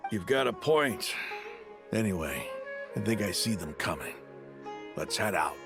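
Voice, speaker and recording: Gravelly desert mercenary man. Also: on the low side, sing-song, measured pace, music in the background, full character voice. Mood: steady. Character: loyal, battle-hardened male desert mercenary. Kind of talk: scripted game dialogue.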